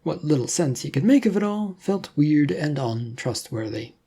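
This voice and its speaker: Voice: medium pitch, sing-song, moderate pace, warm tone, slightly rough. A narrator reading a serial adventure story as scripted fiction.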